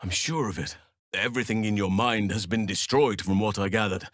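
Low-voiced, solemn druid leader. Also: male voice, a bit bright, no room sound, clean studio sound. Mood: solemn, measured. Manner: measured, formal, earnest. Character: gentle, solemn male druid leader, earnest and wise. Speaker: video game companion character. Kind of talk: video game voice acting, formal fantasy dialogue.

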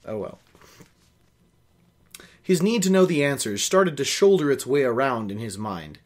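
Young guy reading a book out loud, really lively pitch, offhand wry comments. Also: a little slow. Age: young adult. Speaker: host of a book reading show. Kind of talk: reading a novel aloud with casual asides. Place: small room at home.

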